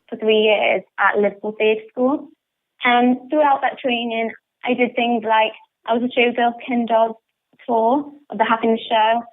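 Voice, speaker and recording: A young woman with a northern English accent, chatting enthusiastically in a radio interview over a phone line. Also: fairly high pitch. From northern England. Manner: enthusiastic, upbeat. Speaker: interviewed pantomime actress. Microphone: telephone. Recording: muffled, tinny phone line.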